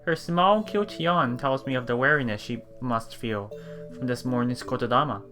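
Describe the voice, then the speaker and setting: Male streamer, bright forceful voice, visual novel read-aloud, casual asides, soft music behind. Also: medium pitch, normal speed. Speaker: let's play streamer.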